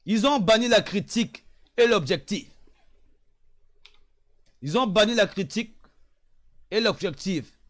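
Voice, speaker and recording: Impassioned Congolese man, high lively voice. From Republic of the Congo. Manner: emphatic, repeats phrases for emphasis, drops to low sighing asides. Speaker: online commentator. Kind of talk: impassioned political monologue.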